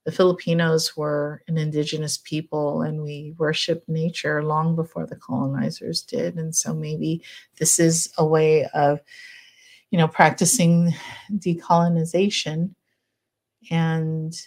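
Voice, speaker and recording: A middle-aged woman psychologist speaking as a podcast guest, gentle and chatty, with frequent fillers and easy laughter. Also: deep voice, level tone, measured pace. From United States. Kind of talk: casual podcast interview.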